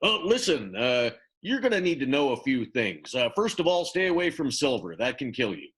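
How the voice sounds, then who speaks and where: Man playing a husky, animated werewolf mentor. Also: mid-range voice, moderate pace. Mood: brisk, coaching. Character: eager, gruff male werewolf mentor, casual and bossy. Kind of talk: improvised old-time radio play.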